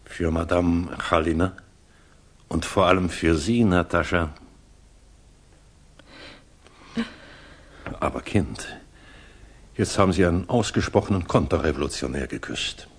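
An actor playing a courteous, reserved middle-aged English gentleman in a 1960s radio drama, speaking drily and deliberately. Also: sits low, slightly rough. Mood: dry, obliging. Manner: polite, dry, deliberate. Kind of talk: 1960s German radio drama acting, dialogue and narration.

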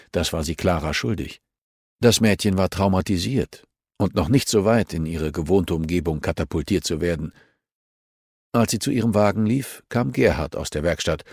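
A male audiobook narrator reads scripted prose from a novel. His voice is on the low side. The recording is dry, with very little room sound. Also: gravelly, a little mellow, breathy edge, dry recording.

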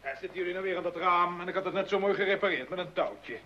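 An actor playing a fussy, exasperated father in an old-fashioned radio comedy, with an animated manner. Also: high-pitched, fast, close to an everyday voice. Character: exasperated, nosy handyman father of the household. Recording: dull, noisy old radio recording.

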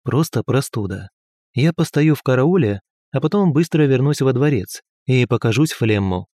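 Man reading a young prince, brisk and shrugging, mellow low-key voice, dry. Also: expressive, no echo, close to an everyday voice. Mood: dismissive. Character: young prince, brisk, modest, slightly unwell. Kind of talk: audiobook character voice.

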